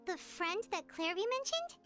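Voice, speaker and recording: A woman voicing a small, curious, chirpy childlike game companion with a squeaky voice. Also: sing-song, normal speed, full character voice. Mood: questioning, surprised. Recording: loud music behind the voice.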